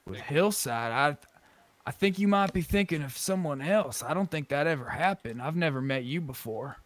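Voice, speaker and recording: A man's voice: a little high, lively intonation, moderate pace. A man talking in improvised in-character chat during an online roleplay game, over a voice chat microphone. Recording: faint background noise on game voice chat.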